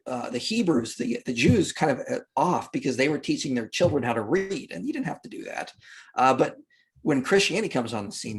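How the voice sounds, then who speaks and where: A man with a husky voice at a medium pitch talks as a podcast guest. He explains history and religion conversationally, leaning on repeated phrases to drive his points home.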